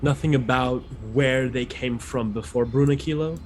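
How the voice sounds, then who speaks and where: A man's voice: mid-range voice, moderate pace, darkish tone, slight background noise. A man playing a tabletop game, asking short, curious questions. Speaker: player. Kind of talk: casual play at a tabletop game.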